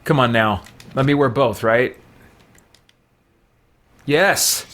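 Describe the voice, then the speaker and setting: A man's voice: medium pitch, slow, fairly bright tone. A man giving casual let's play commentary, rambling and thinking aloud as he goes. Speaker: gamer playing and commenting on a role-playing game.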